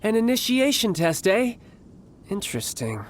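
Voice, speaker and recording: A man voicing a cocky young warrior in a video game, smooth-talking, smug and formally worded. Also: sits high, very animated, moderate pace, slight background noise. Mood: confident, playful. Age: young adult. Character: cocky, theatrical young male warrior posing as toy salesman.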